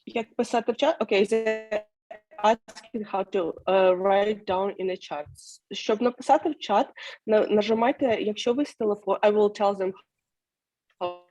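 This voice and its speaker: A woman, a native Ukrainian speaker, hosting and interpreting informally on a video call in a halting, hesitant way. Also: mid-range voice, dark tone, lo-fi sound, faint music behind. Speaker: host and interpreter.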